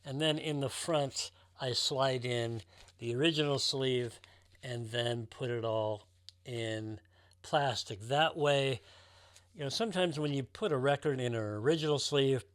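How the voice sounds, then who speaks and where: A man's voice: medium pitch, measured pace, dry recording. Enthusiastic man presenting, dry close sound. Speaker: record collector presenting a show. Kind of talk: casual presentation to viewers.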